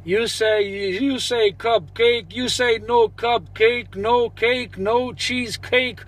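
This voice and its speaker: A man with a very high voice banters on CB radio. He is boastful, chanting and repeating his phrases as he goes. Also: fairly even intonation, slow pace, a bit bright. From New Jersey, United States. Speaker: CB radio operator.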